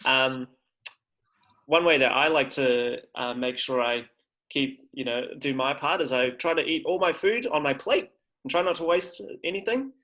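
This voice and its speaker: A man's voice: mid-range voice, moderate pace, bright, forceful tone, lo-fi sound. A man hosts a children's livestream over a video call. He is upbeat and encouraging and uses many fillers. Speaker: livestream host. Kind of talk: presenting to children.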